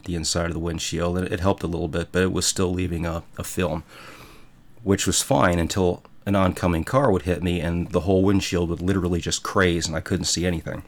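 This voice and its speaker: Man, very low pitch, rough phlegmy throat, folksy rambling storyteller, podcast host. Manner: rambling, self-mocking, folksy. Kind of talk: casual storytelling monologue.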